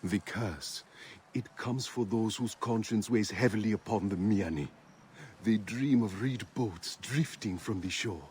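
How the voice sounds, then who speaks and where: An actor playing a solemn mystic seer of ancient Egypt in a video game, with formal, poetic, portentous delivery. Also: male voice, lowish voice, measured pace, darkish tone, a little hiss or hum, close to an everyday voice. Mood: foreboding. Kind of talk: video game cutscene acting. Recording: game music behind the voice.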